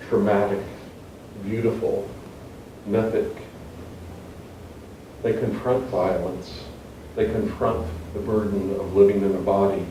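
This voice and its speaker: A man in his fifties giving a prepared introduction in a measured, formal, literary way, as a university teacher presenting a guest. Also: lowish voice, dark tone. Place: indoor university reading event. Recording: echoey room, distant mic, faint noise.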